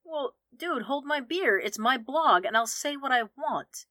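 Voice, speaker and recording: A woman co-hosting a podcast, chatting casually and telling stories in a candid, animated way. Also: high voice, unhurried, dry recording, studio quality. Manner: candid, animated storytelling with frequent restarts. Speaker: podcast co-host. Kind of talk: casual conversational chat.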